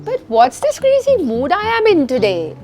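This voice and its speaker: Lively, playful woman host over music. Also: extremely high pitch, moderate pace. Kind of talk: talk show hosting. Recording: music playing behind.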